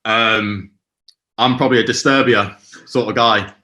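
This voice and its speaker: A man hosting a quiz over a call, bantering in a jokey, relaxed way. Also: on the low side, measured pace, reverberant. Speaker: quizmaster. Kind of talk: casual chat.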